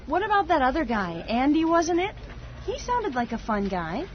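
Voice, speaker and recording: A woman's voice: sits high, very animated, moderate pace, a little hiss or hum. A woman acting a curious, friendly coworker in a scripted listening dialogue. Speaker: dialogue actor. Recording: dull lo-fi audio.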